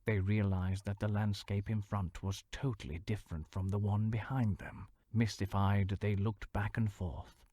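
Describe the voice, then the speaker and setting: Low, husky-voiced male audiobook narrator. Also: flat delivery, no echo, studio quality. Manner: measured storytelling, dropping to a hushed near-whisper for effect. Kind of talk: scripted fantasy narration.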